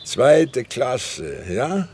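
An actor playing a harried, sardonic police detective in a radio drama, with questioning, halting delivery. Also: male voice, mid-range voice, very animated, a little mellow, slightly rough, heavily acted. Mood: businesslike.

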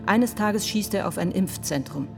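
A woman acting in a radio play as a sharp, indignant defence lawyer pleading in court, with a pointed, rhetorical manner. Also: mid-range voice, lively intonation, moderate pace, creaky. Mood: challenging. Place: studio. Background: music.

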